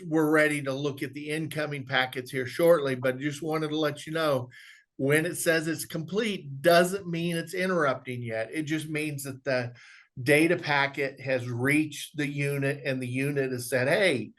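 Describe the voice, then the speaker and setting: A man presenting an instructional software walkthrough, explaining step by step in a conversational way. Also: fairly high pitch. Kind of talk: instructional screen walkthrough, informal webinar.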